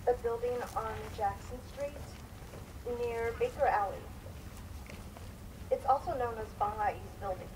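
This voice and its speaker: Woman playing guarded 1910s immigrant wife, formal careful testimony, stage reading, fairly high voice, distant noisy recording. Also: a little slow, long pauses, lightly acted. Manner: formal, careful, firm answers. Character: guarded, insistent immigrant wife under questioning in 1913. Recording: distant microphone in noisy live room.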